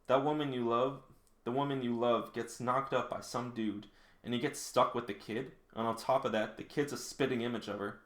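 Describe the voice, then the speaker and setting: A man's voice: mid-range voice, steady tone, moderate pace, fairly bright tone, natural voice. A man reading the part of a blunt, teasing and easily exasperated female vampire from a manga. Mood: exasperated scolding. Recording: roomy, echoing indoor sound.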